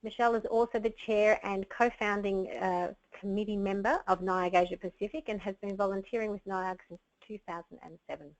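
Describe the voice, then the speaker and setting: A woman's voice: mid-range voice, fairly even intonation, fairly quick, muffled recording. A woman moderating an online webinar, sometimes stumbling over her words. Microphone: web conference audio.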